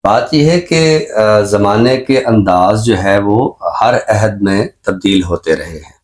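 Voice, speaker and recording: A man with a Pakistani accent speaking as a talk show expert in a reflective discussion, explaining in measured, repeated phrases with examples. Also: fairly low pitch. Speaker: expert guest on a literary and social talk show.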